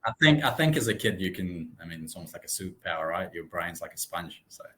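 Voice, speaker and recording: A man in his thirties, born in Russia with Russian as his first language, chatting casually as an interview guest on a video call, relaxed and friendly with frequent ums. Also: low-pitched, fast, slight background noise. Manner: relaxed, friendly, many ums, laughs easily. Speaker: real estate branch manager, guest.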